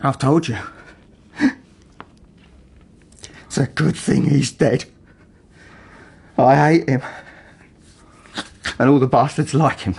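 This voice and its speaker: An actor playing an embittered, broken working man making a halting, emotionally raw confession in a radio drama. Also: mid-range voice, fairly even intonation, gravelly, a little mellow, breathy edge. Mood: defiant. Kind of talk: naturalistic radio drama acting.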